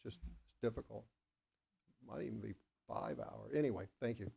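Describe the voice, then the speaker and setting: Gravelly man rambling at a board meeting. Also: mid-range voice, mellow. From Oklahoma. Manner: rambling, self-deprecating, halting restarts. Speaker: school board member.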